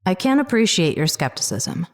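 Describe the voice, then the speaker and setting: A woman's voice: lowish voice, big pitch swings, normal speed. A woman playing an open-minded, diplomatic scientist advisor in a sci-fi audio drama, explaining things earnestly.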